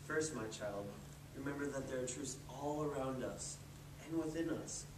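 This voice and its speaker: A man playing a wise, gentle, ancient turtle in a storybook readers theatre piece, speaking in a solemn, measured way. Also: mid-range voice, close to an everyday voice. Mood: patient, reassuring. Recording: roomy, distant mic with clear noise.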